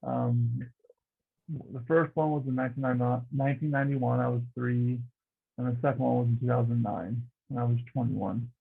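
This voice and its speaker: A man's voice: medium pitch, fairly even intonation, moderate pace, vocal fry, slightly rough. A man in his early thirties casually recalling his own experiences as a panelist, over dull lo-fi call audio. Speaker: patient panelist. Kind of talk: casual recollection on an online panel.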